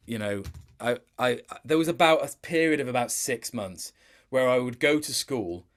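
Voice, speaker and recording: A man in his late twenties speaks as a guest in a relaxed interview. His delivery is conversational and rambling. He often doubles back to rephrase as he tells a story. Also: medium pitch, moderate pace. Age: late 20s. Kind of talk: casual gaming interview chat.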